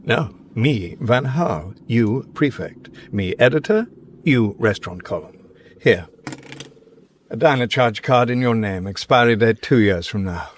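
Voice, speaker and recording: A man's voice: medium pitch, expressive, a little slow, a little hiss or hum, lightly acted. A man playing a smug, domineering editor-in-chief in a radio comedy, with clipped, pompous salesman-like pronouncements. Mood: curt, commanding. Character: smug, domineering male corporate editor-in-chief, grandiose zealot. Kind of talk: radio comedy drama acting.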